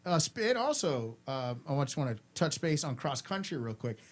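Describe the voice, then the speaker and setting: A man's voice: a little high, very animated, normal speed. A man hosting a school's afternoon announcements, friendly and instructive, repeating his points to students.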